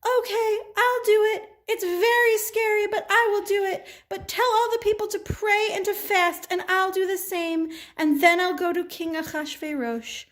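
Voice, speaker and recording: A woman voicing a timid, gentle young queen in a children's puppet show, meek and plaintive. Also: extremely high pitch, steady tone, normal speed, few pauses, pitched above the performer's own voice. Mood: anxious.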